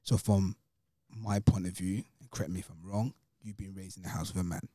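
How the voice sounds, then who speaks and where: A man's voice: low-pitched, fairly even intonation, fairly quick, mellow, no room sound, clean studio sound. A man speaking as a podcast panellist in a casual discussion.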